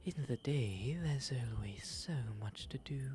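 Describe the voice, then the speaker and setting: Refined owl demon gentleman, played by a man, intimate ASMR roleplay: soft breathy delivery, unhurried and eloquent, noticeable background noise. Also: mid-range voice, lively intonation, natural voice. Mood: calm, reflective. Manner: genteel, eloquent, unhurried phrasing.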